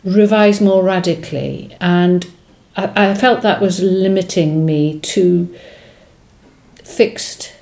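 A woman's voice: on the low side, slow pace, creaky, darkish tone. Thoughtful Welsh-speaking woman poet. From Wales. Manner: thoughtful, hesitant, many fillers and restarts. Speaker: poet, interview guest. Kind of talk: reflective conversational interview.

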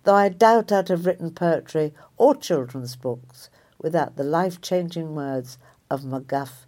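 A woman, a writer reading a reflective personal essay in a measured, thoughtful way. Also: low voice, dark tone. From Liverpool area, England.

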